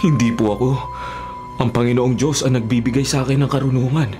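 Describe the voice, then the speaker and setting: An actor playing a humble, devout Hebrew dream interpreter in a respectful, earnest radio drama style. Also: male voice, medium pitch, fairly even intonation, fairly quick, lightly acted. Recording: radio drama over clear background music.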